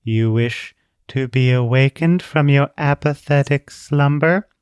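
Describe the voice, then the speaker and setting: A man voicing a small, weary girl who speaks in a near-monotone, calm and detached. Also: mid-range voice, clear, resonant tone, no echo, clean studio sound, pitched above the performer's own voice, natural voice. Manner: flat, slow, calmly detached.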